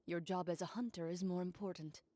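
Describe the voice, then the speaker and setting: A woman playing a composed, honourable hunter and duelist in a video game, speaking in a measured, formal way. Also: on the low side, steady tone, dark tone, dry recording, close to an everyday voice. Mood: magnanimous.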